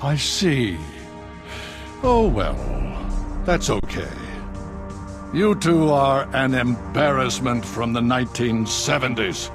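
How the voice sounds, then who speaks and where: A cold, scheming government official who speaks slowly and smoothly. His delivery is condescending and his pitch moves a lot. Game music runs behind him. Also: animated. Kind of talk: video game cutscene.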